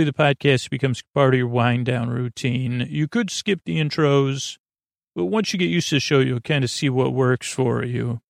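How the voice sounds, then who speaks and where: Man, bedtime monologue, creaky fry, dry recording, rambling, halting, gently reassuring. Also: mid-range voice, dry recording. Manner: meandering, halting, self-interrupting. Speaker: podcast host.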